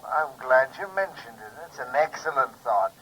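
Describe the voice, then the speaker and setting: A man's voice: mid-range voice, lively intonation, moderate pace, fairly bright tone, close to an everyday voice, rough recording. Cool, callous rich client played by a man. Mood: cool, indifferent. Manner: formal, unruffled, clipped politeness. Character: cool, callous wealthy male client, polite and fussy. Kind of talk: 1950s radio drama acting. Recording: vintage radio recording of telephone voice.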